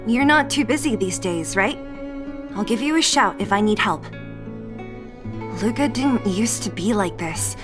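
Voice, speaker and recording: A woman's voice: mid-range voice, animated, a little slow, pauses often, lightly acted. An actress playing a feisty, protective young woman in a video game, blunt, brash and impatient in the way she talks. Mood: frustrated. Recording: guitar music behind the voice. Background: plucked guitar music.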